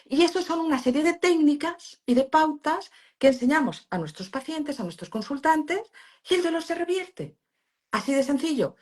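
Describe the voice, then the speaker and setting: A middle-aged woman from Spain with a Spanish accent speaks with a fairly high, very animated voice. She explains things emphatically, like a teacher, with frequent fillers and restarts. Valencian is her first language. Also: normal speed. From Valencia region, Spain. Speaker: pain therapist, guest. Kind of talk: explanatory interview talk.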